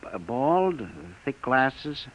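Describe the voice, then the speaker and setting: A muffled old radio drama recording carries an anxious, mild-mannered clerk. He is earnest and hesitant, tripping over words in a medium-pitched voice. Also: sing-song, slow. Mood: nervous, deferential. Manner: hesitant, stammering. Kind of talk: 1950s radio drama acting.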